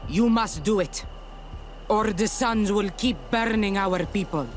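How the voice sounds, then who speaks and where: An actress playing a fierce, vengeful native warrior woman in a video game, blunt and deliberate. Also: medium pitch, faint music behind, lightly acted. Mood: defiant.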